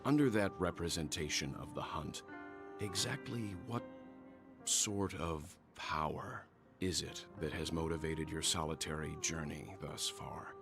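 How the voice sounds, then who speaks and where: An actor playing a grave, courteous, scholarly gentleman traveler in a video game, speaking deliberately and formally. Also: very deep voice, creaky, music in the background, full character voice. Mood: courteous, probing. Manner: deliberate, formal, weighty delivery. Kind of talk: scripted video game dialogue.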